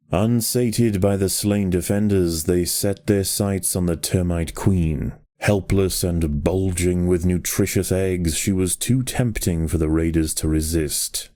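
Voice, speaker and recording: A man with a very deep, dark and relaxed voice reads scripted wildlife narration for a game. His delivery is measured, with solemn drama in the phrasing. The sound is close and dry, like a studio voice-over. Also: no room sound. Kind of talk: scripted nature documentary narration.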